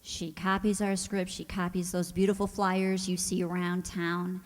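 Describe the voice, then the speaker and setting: A woman's voice: on the low side, flat delivery, a little slow. A woman giving a live thank-you address to an audience from the stage of an auditorium. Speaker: radio players' member giving thanks from the stage. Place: large indoor township auditorium, on stage. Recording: echoey auditorium with long reverb.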